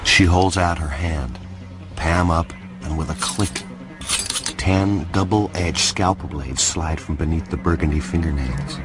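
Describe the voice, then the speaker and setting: A man's voice: extremely low pitch, unhurried, over loud music. A man playing a weary, bleak noir antihero, narrating a radio drama in terse, brooding fragments.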